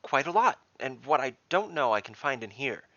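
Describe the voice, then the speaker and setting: A man's voice: medium pitch, big pitch swings, normal speed, a bit bright, dry recording, dull audio, voice pushed up. A man voicing a shy, friendly young male gnome librarian with an awkward, stammering manner in a fantasy story. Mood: helpful, eager.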